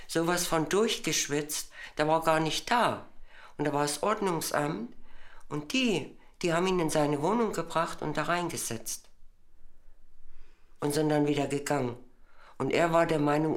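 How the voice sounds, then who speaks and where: A woman telling a personal experience spontaneously as an interviewee, in fragmented colloquial phrases. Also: low voice.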